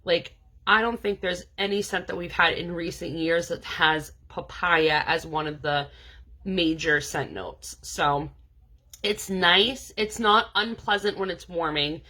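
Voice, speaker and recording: A woman's voice: lowish voice, a little slow, bright, forceful tone. A woman from New England giving a casual, chatty product review as a scent consultant, sounding stuffed up from allergies and filling her talk with "um" and "like". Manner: chatty, many "um" and "like" fillers.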